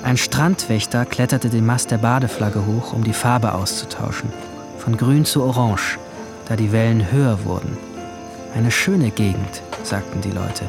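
A man's voice: on the low side, unhurried, gravelly, over music. A man playing an anguished, withdrawn seventeen-year-old boy, narrating a radio drama in a subdued, introspective, literary first person. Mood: bleak, detached. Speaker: narrator and main character.

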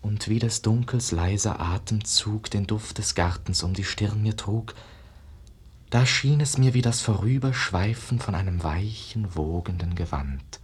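An actor playing a dreamy, rapturous young painter's disciple, declaiming verse in a hushed, lyrical way in a 1950s radio drama. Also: male voice, on the low side, measured pace, husky. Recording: muffled-edged 1950s radio play recording.

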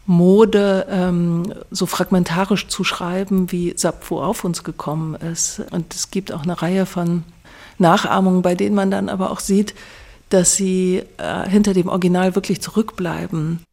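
A woman with a fairly low, very mellow voice comments off the cuff as an interviewed writer. She is reflective and tends to pile up similar words as she refines a thought. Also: steady tone, unhurried. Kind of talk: spontaneous spoken commentary.

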